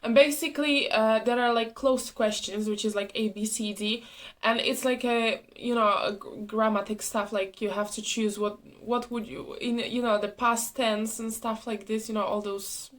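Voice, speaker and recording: A young woman with a fairly high voice talks casually to her viewers, her voice a little hoarse from a cold. English is not her first language, and she rambles along with plenty of fillers and restarts. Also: steady tone, moderate pace. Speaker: vlogger, former cabin crew. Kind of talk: casual chat to camera.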